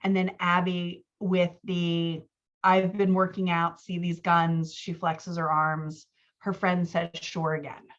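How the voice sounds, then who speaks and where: A woman's voice: fairly low pitch, flat delivery, slow, fairly bright tone, lo-fi sound. A woman giving informal one-on-one writing feedback as a coach, explaining her edits and acting out example lines.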